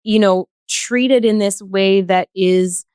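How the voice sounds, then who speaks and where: Woman with an average pitched voice talking seriously on a panel, measured and articulate, very dry sound. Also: steady tone, darkish tone, smooth, no echo. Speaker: podcast guest, healthcare worker. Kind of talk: earnest discussion.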